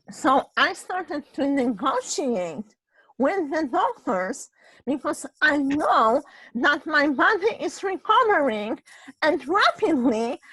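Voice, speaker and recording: A woman from Poland with a Polish accent and a husky voice, telling a personal story in casual chat, halting and restarting her phrases and often adding "right?". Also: high-pitched, bright, forceful tone, dry recording, low-quality recording. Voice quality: husky voice, ongoing voice problem.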